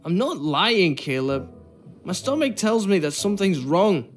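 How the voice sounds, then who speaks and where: A young ship's boy in a period adventure game, earnest and troubled. The voice sits a little high and moves slowly, over faint music. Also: big pitch swings. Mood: feigning sickness. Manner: pleading, hesitant, earnest. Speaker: actor in a narrative adventure game. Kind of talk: period drama game acting.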